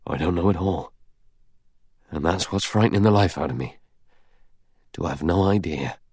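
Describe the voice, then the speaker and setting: A man voicing an anxious, bewildered butler with a hoarse voice in an audiobook. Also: low-pitched, normal speed, darkish tone, dry recording, clean studio sound. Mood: frightened. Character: frightened, bewildered male butler, anxious servant. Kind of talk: audiobook character dialogue.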